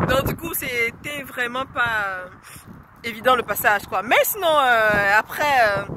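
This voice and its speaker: Woman with the flu, casual vlog, rambling with fillers, outdoors, heavy wind noise. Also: fairly high pitch. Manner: rambling, frequent fillers and self-corrections. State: has the flu. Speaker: vlogger. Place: outdoors by a lake in freezing wind.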